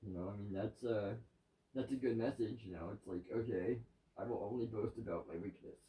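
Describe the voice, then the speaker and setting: A man's voice: on the low side, fairly quick, dark tone, rough recording. A man in a casual chat about religion with his wife, plainspoken, agreeable and blunt. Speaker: vlogger's husband, devotional reader.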